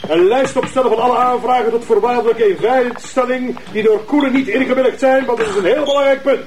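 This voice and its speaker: A man's voice: extremely high pitch, quick pace, a little hiss or hum. A man with a Flemish accent playing a bossy, self-important police commissioner in a radio drama, hectoring and pompous. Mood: bossy, impatient.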